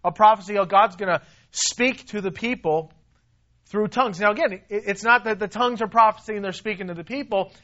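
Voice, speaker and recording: A man preaching a sermon to a congregation, emphatic and repetitive, swinging between loud stresses and quiet asides. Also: sits high, fairly quick, dull audio. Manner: emphatic, repeats himself, rises and drops in volume. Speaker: pastor.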